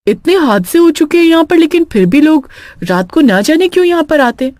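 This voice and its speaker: A woman's voice: high voice, very animated, fairly quick, a little mellow, no echo, studio quality, pitched above the performer's own voice. A woman voicing an alarmed, fearful local woman in a cartoon horror story. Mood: sorrowful.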